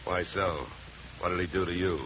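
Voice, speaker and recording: An American actor playing a tough, wry private investigator in a 1950s radio drama, with a dry, controlled, sardonic delivery. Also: male voice, deep voice, steady tone, normal speed, close to an everyday voice. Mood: dry, probing. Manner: hard-boiled, dry, controlled. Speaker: lead actor and first-person narrator. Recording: muffled, noisy 1950 radio recording.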